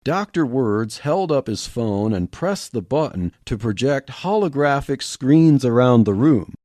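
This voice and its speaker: A man's voice: medium pitch, animated, a little slow, warm tone, no echo, studio quality. A man reading story narration for a fiction podcast in plain storytelling manner. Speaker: podcast reader.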